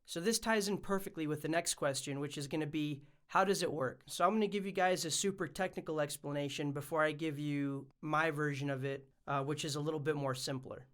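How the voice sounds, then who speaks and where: A man's voice: a little high, fairly even intonation, fairly quick, hardly any pauses, a bit bright. A man presenting a casual explainer vlog as a music production YouTuber.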